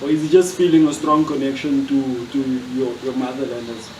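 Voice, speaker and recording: A man's voice: mid-range voice, little pitch movement, fairly quick, noisy background. A man asking long, wordy questions as a reporter at a press event. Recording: echoey room, distant from mic.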